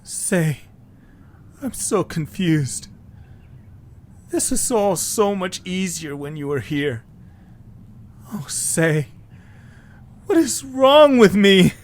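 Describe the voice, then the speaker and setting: Man acting an overwrought, high-pitched young creature hero. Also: sing-song, extremely slow, long pauses, slight background noise, exaggerated voice. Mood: confused, guilty. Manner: strident, exasperated outbursts. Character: indignant young male Zoombini, overwrought and earnest. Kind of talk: amateur audio drama acting.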